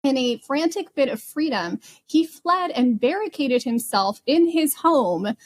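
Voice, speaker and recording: A woman's voice: sits high, expressive, a little slow, darkish tone. A woman co-hosting a podcast, telling true crime stories casually with a wry, giggly manner. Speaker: podcast co-host.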